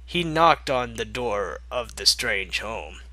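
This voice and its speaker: Slow, very bright-voiced male story reader. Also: on the low side, expressive, no echo. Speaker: fan fiction narrator. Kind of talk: story narration with joking asides.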